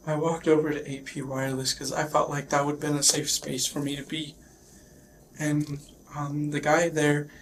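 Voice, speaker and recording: A man being questioned by police, recounting in a halting, shaken way while getting over a sickness. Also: fairly high pitch. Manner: shaken, halting, repeats himself. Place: police questioning, recorded on bodycam. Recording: noisy police bodycam audio.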